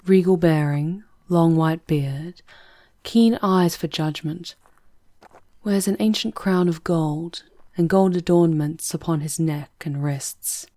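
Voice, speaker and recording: Composed, protective demoness guide, acted by a woman, deep for a woman, unhurried, measured and hushed, fantasy ASMR roleplay. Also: no echo, clean studio sound. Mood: hushed, wry.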